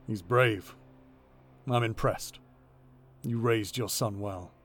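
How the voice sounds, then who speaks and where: Casting: battle-hardened sci-fi commander male actor, stern and terse, lowish voice, faint music underneath. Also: takes it slowly. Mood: gruff approval. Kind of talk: sci-fi audio drama acting.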